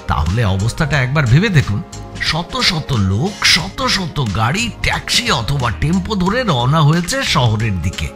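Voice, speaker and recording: A man with a West Bengal Bengali accent narrates a first-person suspense audiobook in an expressive, measured storytelling manner with deliberate pauses. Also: on the high side. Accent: Bengali from West Bengal, India. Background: music.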